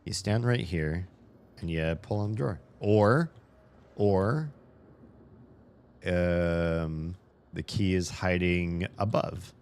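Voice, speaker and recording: A man's voice: sits low, slow pace, pauses often, a little mellow, clean tone. A man giving casual commentary as he plays a video game, thinking aloud, swearing now and then, his voice a little worn. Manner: rambling, thinks aloud, swears and laughs while playing. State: slightly losing his voice. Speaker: game streamer. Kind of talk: casual let's-play commentary.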